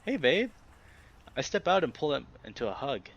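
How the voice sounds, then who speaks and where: A man's voice: medium pitch, quick pace, vocal fry. Slightly muffled man, let's play host. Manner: expressive reactions, swears casually. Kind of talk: reading a visual novel aloud with casual commentary.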